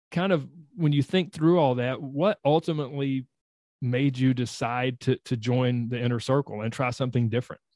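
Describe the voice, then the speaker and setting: A man's voice: medium pitch, a little mellow, no echo. A man hosting an interview, enthusiastic and encouraging, rambling a bit with restarts. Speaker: host. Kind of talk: interview, coaching podcast.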